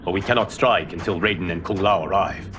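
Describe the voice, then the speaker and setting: A cautious war strategist from a fantasy game. His very deep voice is grave, with faint noise of the surroundings behind it. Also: fairly quick, a bit bright.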